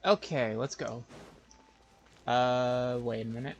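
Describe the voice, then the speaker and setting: A man's voice: mid-range voice, steady tone, slow pace, lo-fi sound. A man giving casual live gameplay commentary, thinking aloud in a distracted way. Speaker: game streamer. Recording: clear background noise, game ambience.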